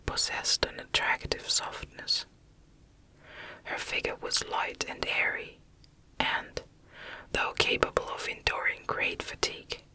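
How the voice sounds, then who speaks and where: A woman's voice: bright, forceful tone, low-quality recording. Whispering woman reading a classic novel. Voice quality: breathy unvoiced whisper. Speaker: audiobook narrator.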